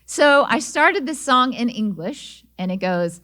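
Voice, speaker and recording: A woman's voice: on the high side, lively intonation, unhurried. A middle-aged woman, a singer-songwriter, giving an inspirational talk and telling personal stories, with small restarts as she talks. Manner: warm storytelling with small restarts.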